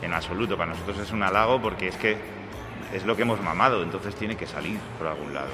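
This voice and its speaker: A deep-voiced male singer speaks in a relaxed, agreeable way. Background music plays. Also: fast. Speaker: band singer. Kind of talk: casual interview.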